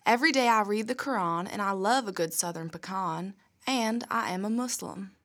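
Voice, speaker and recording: A woman's voice: mid-range voice, normal speed, fairly bright tone, close to an everyday voice. A woman playing a friendly, folksy Southern woman from Alabama in a storybook.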